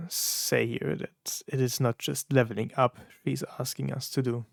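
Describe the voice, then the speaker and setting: Halting German man with gravelly voice. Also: medium pitch, dry recording, studio quality. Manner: halting, many fillers and restarts, searching for words. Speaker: content creator reacting to songs. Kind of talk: casual reaction commentary.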